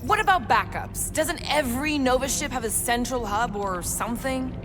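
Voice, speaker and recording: An actress playing a tough female alien warrior in a video game, measured, dry and matter-of-fact. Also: high voice, over music, close to an everyday voice. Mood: cool, serious. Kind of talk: video game character acting.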